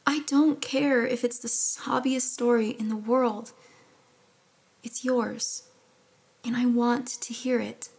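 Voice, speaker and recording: A woman playing a caring, awkward young friend in an intimate audio drama, with a soft, earnest, stammering manner. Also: on the high side, fairly even intonation, slow, vocal fry, long pauses, slightly rough, exaggerated voice. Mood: concerned, tender.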